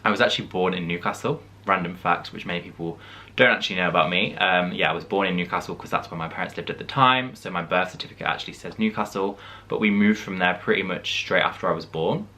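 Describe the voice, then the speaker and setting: A man's voice: low voice, fast, bright, forceful tone. A young man in his twenties from the English Midlands, chatting casually as he answers viewer questions in a vlog. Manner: chatty, reflective, frequent "like" and "um" fillers. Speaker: vlogger.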